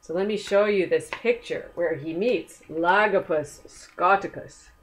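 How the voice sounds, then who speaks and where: A woman reads a children's story aloud at a very unhurried pace. Her voice sits slightly low, and her manner is gentle and inviting, as a storyteller speaking directly to young listeners.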